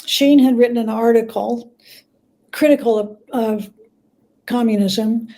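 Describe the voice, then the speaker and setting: A woman speaking as a guest author in a conversational interview, explaining things in a halting, thoughtful way. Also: a little high, fairly even intonation, dark tone, poor audio.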